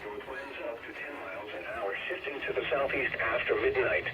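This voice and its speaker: A synthetic male announcer voice reading scripted weather radio alerts and forecasts in a formal, measured way. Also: mid-range voice, highs cut off. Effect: synthetic voice over weather radio. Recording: noisy radio speaker picked up in room.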